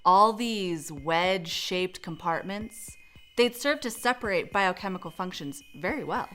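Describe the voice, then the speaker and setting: Bright, sharp-voiced female scientist played by an actress. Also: fairly low pitch, expressive, a little slow, soft background music. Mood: assured. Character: assertive, sardonic female research scientist. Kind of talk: radio drama acting.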